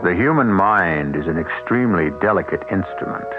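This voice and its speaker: A man narrating a radio mystery drama in a grave, measured way. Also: sits low, lively intonation. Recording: tinny old radio broadcast with music.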